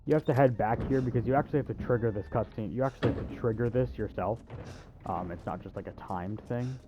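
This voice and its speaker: A man's voice: medium pitch, fast talker, dull audio, background noise. A young man giving casual, sarcastic video game walkthrough commentary with crude jokes. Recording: game music under the voice. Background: game music and sounds.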